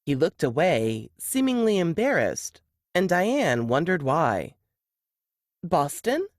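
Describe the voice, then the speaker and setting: A woman's voice: low voice, big pitch swings, takes it slowly, no echo, studio quality. A woman reading a novel aloud as scripted third-person narration. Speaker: novelist reading their work.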